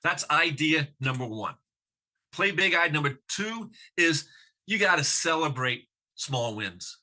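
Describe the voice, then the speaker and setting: A man's voice: a little high, lively intonation, a little slow, bright, forceful tone. A man who stutters, giving a motivational conference talk with emphatic rhetorical questions. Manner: stutters with sound repetitions. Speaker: conference speaker.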